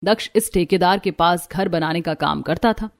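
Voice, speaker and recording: A woman's voice: on the low side, moderate pace, dry recording. A woman reading scripted storytelling narration for a cartoon.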